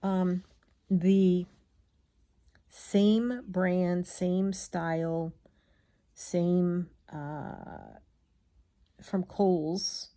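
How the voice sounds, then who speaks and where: Relaxed, slow-talking woman, slightly gravelly. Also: on the low side. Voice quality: slightly gravelly from a frog in the throat. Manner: chatty, absent-minded, self-interrupting, mutters to self. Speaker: craft vlogger. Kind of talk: casual rambling chat while hand stitching.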